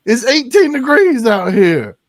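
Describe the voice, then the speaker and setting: A man's voice: very high-pitched, sing-song, unhurried, dry recording, studio quality. A man hosting a podcast in an informal, agreeable, conversational way, reacting to his guest and swapping stories. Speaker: podcast host, military veteran. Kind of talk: casual chat.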